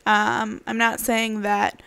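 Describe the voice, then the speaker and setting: A woman's voice: a little high, monotone, slow, creaky, bright, forceful tone, smooth, no echo. A woman storybook reader explaining a tale to children in simple, emphatic phrases, checking they follow along.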